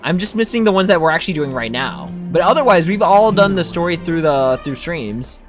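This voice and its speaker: A male game streamer with a somewhat high voice chats casually about what he plays. His intonation swings around a lot, and game music plays behind him. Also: lively intonation, a little fast. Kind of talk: casual gameplay commentary.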